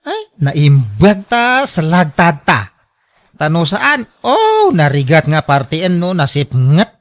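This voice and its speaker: A woman's voice: deep voice, wildly swooping pitch, slow, heavily acted. A woman with an Ilocano accent acting as an anxious, doubtful younger villager in a radio drama.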